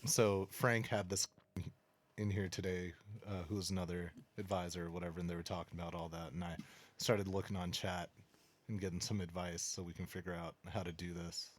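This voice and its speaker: A man's voice: low voice, flat delivery, fairly quick. A man co-hosting a casual podcast, laid-back and joking, with a stuffy, congested nose. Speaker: podcast co-host.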